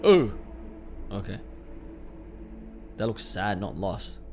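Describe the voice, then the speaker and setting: A man's voice: fairly low pitch, sing-song, slow, vocal fry, darkish tone, a little hiss or hum. A man hosting a let's play, reading game text aloud and reacting in casual slang to his viewers. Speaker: gaming video host. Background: music.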